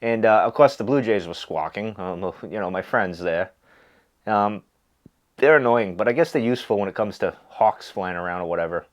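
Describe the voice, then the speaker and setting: A man's voice: on the low side, moderate pace. Stuffy nasal man chatting casually. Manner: rambling, easygoing, many fillers and restarts. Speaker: pipe smoking video host. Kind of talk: casual chat.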